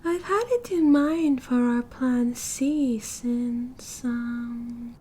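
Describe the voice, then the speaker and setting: A woman's voice: a little high, very slow, dark tone, husky, exaggerated voice. A British woman voicing a cheerful, possessive young yandere kidnapper in an ASMR roleplay, with a cutesy, doting manner. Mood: breezy, doting. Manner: giggly, cutesy, doting, breezy. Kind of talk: ASMR roleplay, intimate one-sided conversation. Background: car driving sounds.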